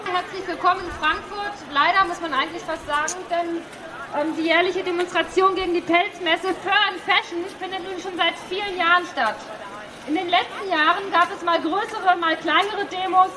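A woman's voice: extremely high pitch, fairly even intonation, moderate pace, crowd noise. A woman giving a public speech outdoors as a speaker at a demonstration. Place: outdoors at a city square demonstration. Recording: noisy outdoor rally recording.

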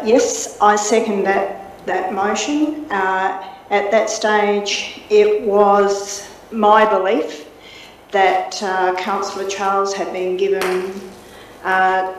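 A woman councillor explaining her vote at a council meeting, halting and hesitant with many fillers. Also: mid-range voice, a little hiss or hum. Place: large council chamber, indoors. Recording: very echoey chamber, mic far off.

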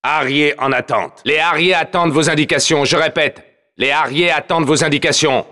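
A man's voice: a little high, normal speed, bright, forceful tone. An actor playing a commanding, battle-hardened male soldier who announces a multiplayer game in terse, clipped, authoritative callouts. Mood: commanding, focused.

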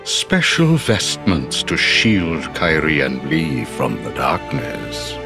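An older man voicing a solemn, wise elderly wizard master in a video game, speaking formally and in a measured, stately way. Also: rumbling low, huge pitch swings, vocal fry, music in the background, exaggerated voice. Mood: stately, instructive. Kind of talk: animated video game voice acting.

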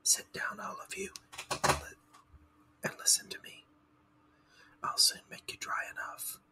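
A man's voice: unhurried, close to an everyday voice. Man whispering as a pompous storybook mouse. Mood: commanding. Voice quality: breathy whisper. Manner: pompous, formal. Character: self-important, easily irritated mouse lecturer.

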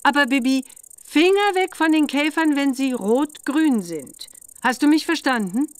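A woman's voice: high voice, animated, a little slow, no room sound. A woman voicing a caring but firm witch mother acting as examiner in a children's computer game, instructive and motherly.

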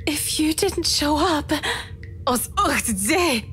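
A distraught young woman in a horror game. Her voice sits very high and sounds anxious and halting, full of emotion. Faint ambient noise runs behind her. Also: lively intonation, fairly bright tone, heavily acted. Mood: shaken, grateful. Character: frightened, distraught young woman freed from a dungeon. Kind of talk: visual novel voice acting.

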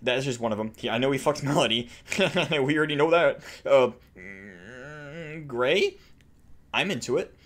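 Young guy reacting to game stuff, super animated, bright voice, jokey and sweary. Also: medium pitch, measured pace. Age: young adult. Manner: exaggerated, excitable, jokey, sweary. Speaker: video creator reacting to game voice lines. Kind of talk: casual reaction commentary. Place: small indoor room at home.

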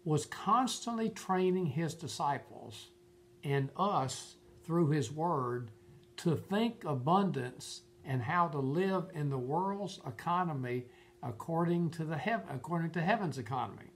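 A man's voice: on the high side, expressive, a little slow, slight background noise. An older man giving informal Bible teaching in an earnest, emphatic way, often repeating his phrases. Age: older, about 70s. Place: furnished room at home.

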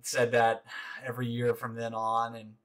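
Animated late-twenties man ranting playfully. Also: mid-range voice, moderate pace. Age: late 20s. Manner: animated, emphatic, jokey complaining. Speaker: co-host of a gaming channel. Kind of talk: casual chat while gaming.

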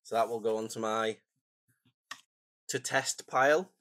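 A man, croaky and phlegmy from a cold, chatting casually and rambling through a stationery unboxing at his desk. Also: lowish voice, steady tone, unhurried, a bit bright, no room sound, clean studio sound. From England. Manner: rambling, self-deprecating, chatty asides. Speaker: stationery vlogger. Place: home desk, indoors.

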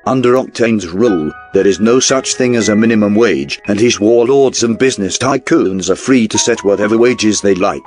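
A man with a fairly low voice delivers scripted documentary narration in the manner of a newsreel. His delivery is formal and measured, and music plays faintly behind him. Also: darkish tone. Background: background music.

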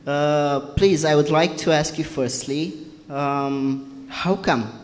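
A man's voice: on the high side, steady tone, slow, slight background noise. A man moderating a panel discussion, asking questions politely and hesitantly with many fillers. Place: museum exhibition hall. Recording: hall reverb, faint ambience.

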